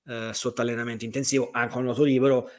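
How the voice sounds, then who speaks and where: Uomo dalla parlata centro-italiana, chiacchiera informale. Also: male voice, medium pitch, fast talker. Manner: animated storytelling, swearing, emphatic repetition. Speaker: co-host of a livestream talk show. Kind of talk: casual chat.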